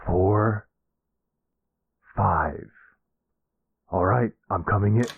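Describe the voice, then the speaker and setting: A man plays an anxious boyfriend in an intimate roleplay drama. His voice is very deep and husky, and he talks slowly and earnestly. He keeps pressing his partner with pleading, exasperated concern. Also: no room sound, phone-quality sound, heavily acted. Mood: anxious, insistent.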